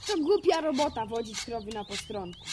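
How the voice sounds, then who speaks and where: Woman as high-pitched country kid herding cows, eager and bookish, radio play, faint noise behind. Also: lively intonation, fairly quick, close to an everyday voice. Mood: weary, wistful. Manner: colloquial rustic speech, calling out to cattle. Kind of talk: naturalistic Polish radio drama acting.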